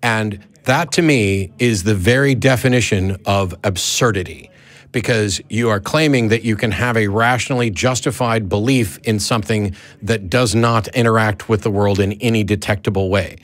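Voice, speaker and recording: A man's voice: on the low side, moderate pace. A man hosting a live call-in show, debating in a blunt, argumentative way and readily cutting in.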